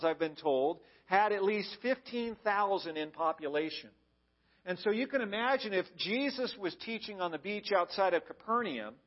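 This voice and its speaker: A man's voice: high voice, highs cut off. An American man giving an explanatory sermon as a pastor, conversational and plain-spoken. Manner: conversational, plain-spoken, asks the listeners questions. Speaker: pastor preaching to a congregation.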